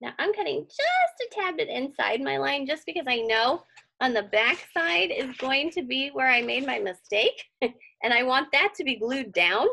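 Female craft teacher for children; lively swooping intonation with some creaky fry, informal, thinks aloud step by step. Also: high voice, moderate pace. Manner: chatty, thinking aloud, reassuring. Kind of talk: casual step-by-step craft tutorial, addressed to children. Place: indoor room at home.

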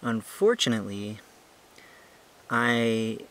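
Young man, slightly low voice, casual hiking vlog, chatty, lots of fillers, outdoors, handheld camera. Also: takes it slowly, poor audio. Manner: relaxed, chatty, explaining with many fillers. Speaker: ant-keeping channel host. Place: outdoors on a brushy hiking trail. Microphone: handheld camera he is filming with.

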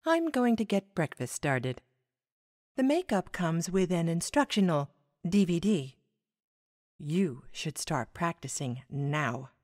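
Woman playing a slow, low-voiced monster mom. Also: very animated, a little mellow. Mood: gentle, instructive. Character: gently instructive monster mother. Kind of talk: audio drama acting.

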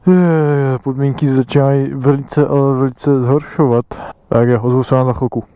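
A man's voice: medium pitch, fairly even intonation, clear, resonant tone, no room sound. A young man from the Czech Republic chatting casually while riding an electric motorbike, laughing often and swearing as he talks. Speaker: motovlogger. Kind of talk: casual helmet-cam motovlog chat. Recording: dull lo-fi sound.

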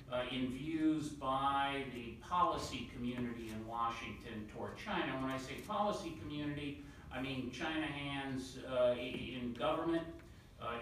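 A man from the New York City area giving panel remarks, talking quickly with lots of fillers and repeated words. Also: medium pitch, a bit bright, a little hiss or hum. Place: large conference room. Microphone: table mic, recorded from the far side of the room. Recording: echoey room audio from far off.

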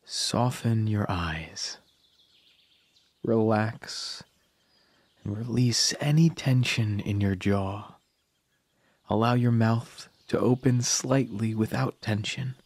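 A man narrating a scripted guided meditation in a calm, soothing manner with long pauses. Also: on the low side, no room sound.

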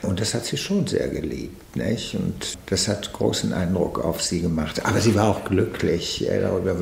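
An older man recalls the past in an interview, reflective and somewhat halting. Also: sits low, gravelly, darkish tone. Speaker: interviewee, eyewitness. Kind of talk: reminiscing in an interview. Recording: echoey room with faint noise.

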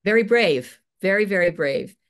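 A woman's voice: mid-range voice, lively intonation, moderate pace. A woman in her sixties moderating a video call interview, affirming her guest and asking questions. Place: home room.

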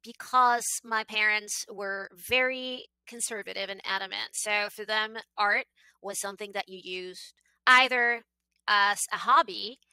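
A woman in her forties from Venezuela, an art advisor speaking as an interview guest in an effusive, high-energy way, talking into a headset mic in a home office. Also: pushed and bright, clear, resonant tone, dry recording, rough recording. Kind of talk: casual interview talk. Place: home office with bookshelves, indoors. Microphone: headset microphone.

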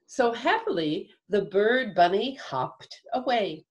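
A woman's voice: medium pitch, expressive, slow. A woman in her sixties giving scripted storytelling for children, recorded over a video call from a room at home. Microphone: video call computer mic.

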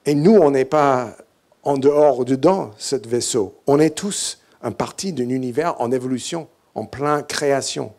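Halting English Canadian man at a conference. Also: mid-range voice, darkish tone. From English-speaking Canada. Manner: hesitant, many "euh" fillers and restarts. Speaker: keynote speaker. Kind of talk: conference talk. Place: large hall stage. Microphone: handheld mic.

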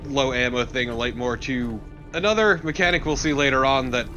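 A man's voice: mid-range voice, brisk, highs cut off, over music. A man talking casually as a co-commentator on a video game. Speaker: let's play co-commentator.

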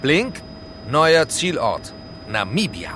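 No-nonsense police chief in an audio drama, clipped orders, loud vehicle noise. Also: male voice, very animated, takes it slowly, heavily acted. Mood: decisive. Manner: terse, authoritative. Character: decisive, commanding male police team chief. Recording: rumbling vehicle noise behind.

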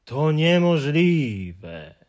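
A man's voice: a little high, sing-song, darkish tone, pitched above the performer's own voice, heavily acted. A man voicing a gloomy, fussy male Hemulen who drags out his mournful complaints in an audiobook. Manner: mournful, sighing, drawn-out complaints. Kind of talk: audiobook character voice.

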